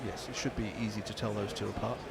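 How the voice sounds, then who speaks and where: A man's voice: fairly low pitch, flat delivery, fast, gravelly, very noisy. A man giving live ball-by-ball cricket commentary on internet radio, with dry, wry asides between deliveries.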